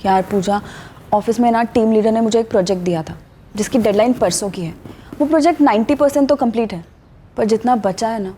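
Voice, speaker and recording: An actress playing a stressed, guilt-ridden young office worker in a TV crime serial. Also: female voice, a little high, fairly quick, mellow, a little hiss or hum, lightly acted. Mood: tense, downcast. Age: young adult.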